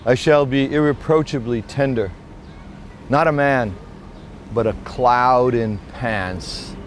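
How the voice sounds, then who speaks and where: A man in his sixties, a street poet, giving a theatrical poetic monologue that swings from hushed musing to shouting. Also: mid-range voice, slow, a little hiss or hum. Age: 60s. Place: outdoors on city streets.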